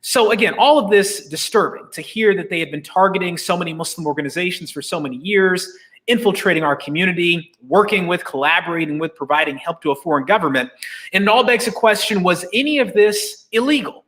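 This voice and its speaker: A man's voice: high voice, a little fast, fairly bright tone. A man hosting a virtual press conference, delivering a prepared public statement in an emphatic way. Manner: emphatic, uses rhetorical questions. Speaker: host and moderator of a press conference.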